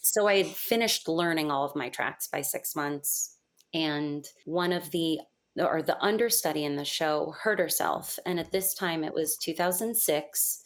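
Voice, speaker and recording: A woman's voice: deep voice, unhurried. A woman telling her career story in a casual interview, chatty, filling her talk with "like" and "you know" and laughing often. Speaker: musical theater performer, guest.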